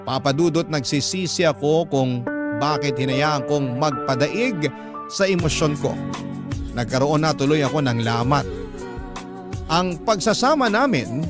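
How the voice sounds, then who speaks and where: Slightly high Filipino male storyteller over music. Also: lively intonation, measured pace. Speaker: radio host and story narrator. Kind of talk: dramatic scripted narration of a love story.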